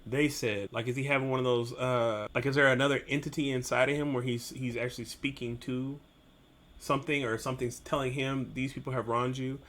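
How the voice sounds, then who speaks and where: A man's voice: medium pitch, fairly even intonation, brisk, a bit bright. Man giving casual, musing reaction commentary. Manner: musing, analytical, informal. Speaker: reaction video host.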